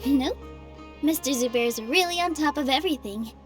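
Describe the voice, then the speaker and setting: A woman voicing a bright-voiced cheerful dancer. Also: sits high, moderate pace, close to an everyday voice. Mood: gentle. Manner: warm, reassuring. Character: kind, cheerful young female dancer. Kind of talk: video game voice acting. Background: game music.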